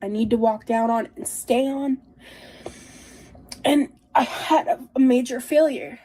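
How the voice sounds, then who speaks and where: A woman vlogger talking confessionally about herself in a halting, introspective way. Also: fairly high pitch, dark tone, no room sound. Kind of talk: confessional personal talk.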